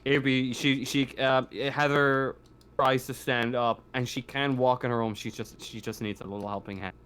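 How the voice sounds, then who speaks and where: A man working as game master, narrating a sci-fi tabletop roleplaying game in a halting, improvised way. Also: mid-range voice, steady tone, fairly bright tone, smooth.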